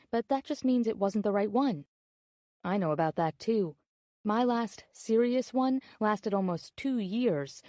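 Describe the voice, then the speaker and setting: Actress doing a friendly coy woman reading her emails out, audiobook style, soft mellow tone, really dry sound. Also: mid-range voice, dry recording, close to an everyday voice. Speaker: audiobook narrator. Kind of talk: scripted audiobook narration.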